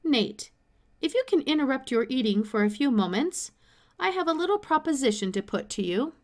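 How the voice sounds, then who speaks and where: A kindly museum scientist from a children's book, read by a woman. He speaks calmly and with measured, polite formality. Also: high voice, voice pushed up. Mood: calm, proposing. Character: calm, kindly male museum scientist, a doctor.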